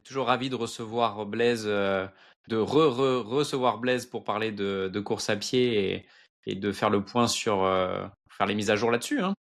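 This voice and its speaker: A man's voice: lowish voice, steady tone, moderate pace. A man co-hosting a podcast in casual chat. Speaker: podcast co-host.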